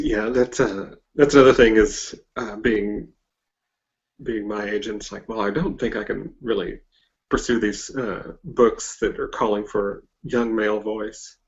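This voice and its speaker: A man's voice: lowish voice, steady tone, slow pace, long pauses, highs cut off. A man hosting a casual interview, speaking in an informal, agreeable and somewhat hesitant way. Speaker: podcast interviewer.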